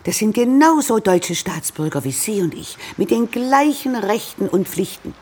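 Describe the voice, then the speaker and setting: A woman's voice: medium pitch, animated, moderate pace, darkish tone, breathy edge. A woman acting a guarded, defensive businesswoman in a radio drama. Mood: defiant. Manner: curt, defensive.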